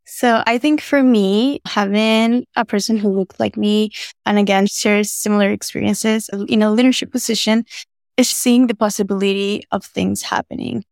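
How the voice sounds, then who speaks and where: A woman's voice: medium pitch, steady tone, moderate pace, vocal fry, darkish tone, no room sound, studio quality. A young woman from Venezuela, a student intern, speaking thoughtfully in a casual podcast conversation. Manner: thoughtful, uses many fillers.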